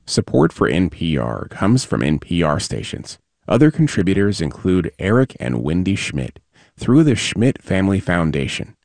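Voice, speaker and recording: Deep gravelly male announcer, very lively pitch, dry. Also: dry recording. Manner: measured, announcer delivery. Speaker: station announcer. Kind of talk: sponsor announcement.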